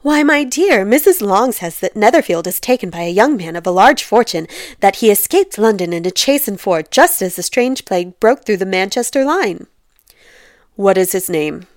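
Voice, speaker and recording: A woman voicing a fussy, excitable, nagging middle-aged wife with a dramatic, complaining manner in a comic storybook reading. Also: medium pitch, normal speed, no room sound, clean studio sound, lightly acted. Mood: excited gossip.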